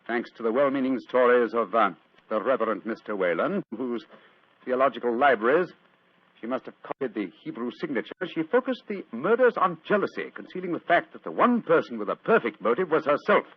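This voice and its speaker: British gentleman sleuth, theatrical, precise, very lively intonation, muffled vintage radio recording. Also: medium pitch, a little fast, slightly rough. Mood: triumphant explaining. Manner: urbane, precise, theatrical, self-assured. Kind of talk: 1940s radio acting. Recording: dull, noisy old radio recording.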